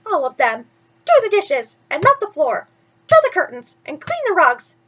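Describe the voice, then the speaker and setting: A spiteful young stepsister from a fairy tale storybook, played by a woman reader. The voice is high and shrill, with a bossy edge and a temper that is easily rattled. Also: huge pitch swings, normal speed, fairly bright tone, heavily acted. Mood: bossy, barking. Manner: barking, shrill orders.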